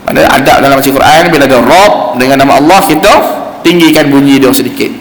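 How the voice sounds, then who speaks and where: A man with a Malaysian Malay accent teaching Quran recitation in an informal, explanatory way, slowly demonstrating sounds as he goes. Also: medium pitch. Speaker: religious teacher giving Quran recitation class. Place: mosque hall. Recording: roomy echoing hall, faint background noise.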